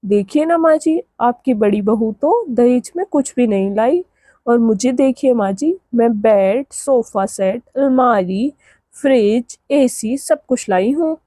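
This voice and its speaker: A woman's voice: on the high side, slow, warm tone, no echo. A woman voicing a haughty, boastful young daughter-in-law in a cartoon moral story, with a taunting, condescending manner. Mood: mocking. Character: haughty young city daughter-in-law flaunting her dowry.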